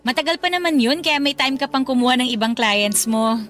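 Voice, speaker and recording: A woman's voice: sits high, animated, a little fast, fairly bright tone. A woman playing a kind, encouraging schoolteacher who talks in a friendly, reassuring way in a radio drama. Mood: chatty. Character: kind, encouraging female schoolteacher, tactfully curious.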